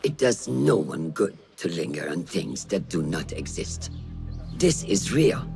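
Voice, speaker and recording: An actor playing a stern, watchful mentor of assassins in a video game, commanding and sententious, giving measured orders. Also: male voice, sits low, sing-song, creaky, over music, heavily acted. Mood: stern, instructive. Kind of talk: dramatic game cutscene acting.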